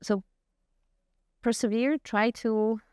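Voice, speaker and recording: Polish-accented woman, clear and close. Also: on the high side, steady tone, slow pace, a little mellow, no echo. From Poland. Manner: earnest, frank, with frequent restarts and fillers. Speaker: guest scientist. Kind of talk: conversational interview answers.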